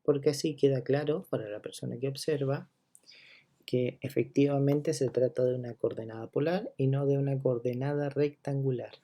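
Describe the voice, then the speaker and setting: A man teaching a geometry lesson, counting steps out loud and checking in with listeners as he goes. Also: mid-range voice, steady tone, normal speed. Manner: walks through each step, checking in with listeners; counts items out loud one by one. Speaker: math teacher.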